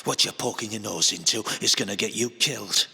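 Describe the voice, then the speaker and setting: A man playing a gruff, protective workhouse ally in a radio drama, with a rough, husky voice and a scolding manner. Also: on the low side, fairly quick, pushed and bright, a little hiss or hum, heavily acted. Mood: warning, urgent. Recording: long hall reverb.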